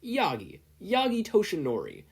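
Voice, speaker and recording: A man's voice: high-pitched, huge pitch swings, moderate pace, creaky, husky, pitched above the performer's own voice, exaggerated voice. A man voicing a hearty, earnest older hero and kindly mentor, speaking in an emphatic, encouraging way for an audiobook. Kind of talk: audiobook character voice.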